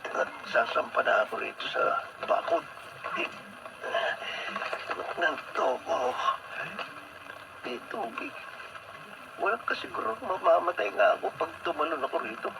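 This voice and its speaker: Lovestruck, high-voiced young Filipino student. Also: male voice, sing-song, moderate pace, gravelly. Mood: despairing. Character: lovestruck, dramatic young male student, pleading and persistent. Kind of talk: Filipino radio drama acting. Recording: very noisy radio broadcast.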